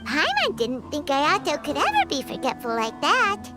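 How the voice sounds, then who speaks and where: A woman voicing a small, childlike, excitable fairy companion in a video game. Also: high-pitched, moderate pace. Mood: excited, teasing. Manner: excitable, speaks of self in third person. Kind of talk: video game character dialogue. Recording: game music behind.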